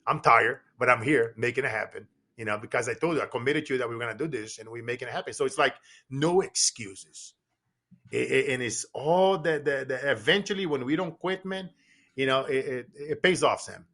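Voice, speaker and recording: A man's voice: mid-range voice, brisk. A middle-aged man from Brazil, a business coach, talking emphatically as a podcast guest, with many restarts. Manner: animated, repetitive restarts, emphatic. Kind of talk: casual podcast conversation.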